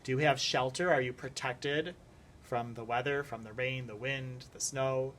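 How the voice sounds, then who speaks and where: Man co-teacher, mid-pitched, patient with kids, faint background hiss. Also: moderate pace, fairly bright tone. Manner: patient co-teacher explaining words.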